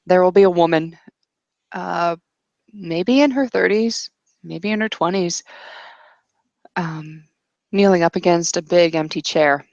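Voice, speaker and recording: A woman narrating an improvised tabletop roleplaying story as game master, in a hesitant, descriptive way with pauses. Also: fairly low pitch, darkish tone, no room sound, lo-fi sound.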